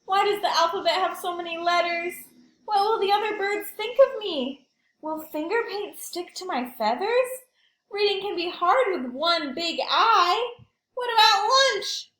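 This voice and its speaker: A woman's voice: extremely high pitch, expressive, unhurried, sharp tone, roomy sound, voice pushed up, heavily acted, rough recording. A woman voicing a whiny, anxious, dramatic pigeon from a children's picture book, complaining with exaggerated emphasis. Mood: worried, exasperated. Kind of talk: animated children's picture book read-aloud.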